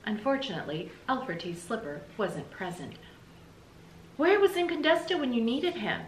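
A middle-aged American woman reading a children's story aloud in an animated, emphatic, explanatory way, like a teacher. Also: on the high side, normal speed. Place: small indoor room with bookshelves. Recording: roomy echo, faint background noise.

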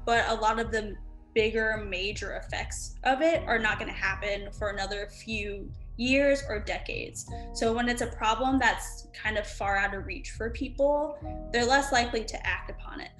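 Brief: woman climate activist, earnest, slightly high voice, echoey room. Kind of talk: documentary interview. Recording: roomy echo, soft music behind.

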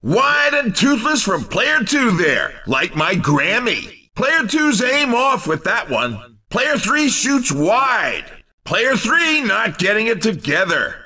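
A man's voice: sits high, sing-song, slow, bright, forceful tone, recorded from a distance, heavily acted. An actor playing an exuberant, cheeky male race commentator in a video game, calling the action in punchy, wisecracking exclamations. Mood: excited, wisecracking.

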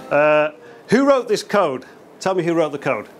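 A man's voice: a little high, very animated, moderate pace, soft background music. Chatty man giving a meetup talk. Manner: chatty, upbeat, many fillers and restarts. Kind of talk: casual conference talk. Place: small event venue indoors, in front of an audience.

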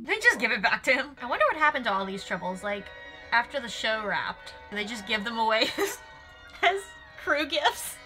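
Young woman, casual reaction chat, bright tone, high voice, animated, faint music behind. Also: brisk. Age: young adult. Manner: animated, exclaiming, recapping what is on screen. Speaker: guest co-host reacting to a TV episode. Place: small furnished room indoors.

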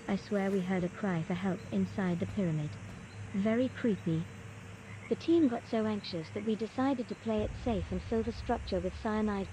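Game role: earnest female expedition leader played by a woman, composed archaeologist dictating logs, medium pitch, clearly noisy ambient backdrop. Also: natural voice. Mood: uneasy, reporting. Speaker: game character recording audio logs. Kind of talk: scripted audio-log narration, game voice acting.

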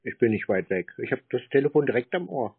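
A husky-voiced man chats casually on a prank call. His intonation is lively and he teases by acting slow. The sound is thin, like a phone. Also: mid-range voice. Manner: teasing, feigned slow-wittedness. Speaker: scam-baiting call recipient. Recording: muffled narrow phone-like sound.

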